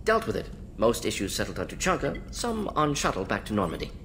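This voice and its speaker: An actor playing a brisk, clinical alien scientist in a video game, speaking in clipped, telegraphic, matter-of-fact phrases. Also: male voice, lowish voice, huge pitch swings, vocal fry, a bit bright, slight background noise, exaggerated voice. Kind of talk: video game character acting.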